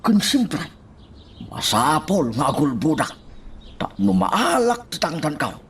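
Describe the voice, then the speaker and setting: Hesitant Filipino uncle, wildly swooping voice. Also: male voice, fairly high pitch, big pitch swings, measured pace, fairly bright tone, slight background noise, full character voice. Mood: hesitant, apologetic. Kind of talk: Filipino radio drama acting.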